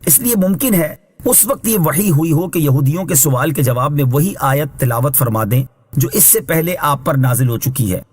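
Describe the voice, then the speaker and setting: A man reading scripted Islamic translation and commentary in a measured, formal, instructive way, as a scholar would. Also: fairly high pitch, animated, darkish tone, slightly rough. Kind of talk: scripted religious exegesis narration.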